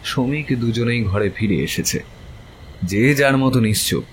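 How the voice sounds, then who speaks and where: A man playing a young, haunted and infatuated traveler, narrating a horror audio story in a theatrical, literary manner with dramatic pauses. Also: mid-range voice, slight background noise, natural voice, poor audio. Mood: suspicious, brooding. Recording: voice over loud background music. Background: prominent music bed.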